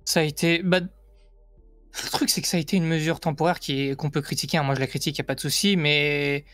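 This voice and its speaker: Male debate guest from France, higher voice, insistent and repetitive, close dry sound over faint music. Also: fairly even intonation, brisk, fairly bright tone, no echo. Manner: insistent, emphatic, repeats himself. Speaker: guest giving an opinion. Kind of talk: casual debate, explaining.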